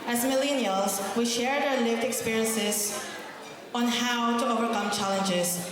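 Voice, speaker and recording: Woman speaking in an echoey ballroom. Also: on the high side. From Philippines. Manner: warm, earnest, with light self-deprecating laughs. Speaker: founder giving a speech at a gala. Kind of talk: prepared speech to a banquet crowd. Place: large hotel ballroom. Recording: echoey hall with crowd chatter.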